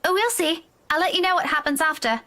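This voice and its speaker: A woman's voice: very high-pitched, quick pace, pushed and bright. A woman acting as a dutiful young adult daughter in a video game, reassuring, easygoing and lightly playful. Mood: cheerful.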